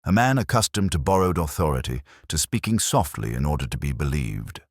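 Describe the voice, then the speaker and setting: A man playing a brooding English police detective, narrating a mystery drama in a measured, literary and melancholy way. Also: extremely low pitch, sing-song, no room sound, studio quality, heavily acted. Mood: brooding, grave. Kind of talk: scripted first-person mystery narration.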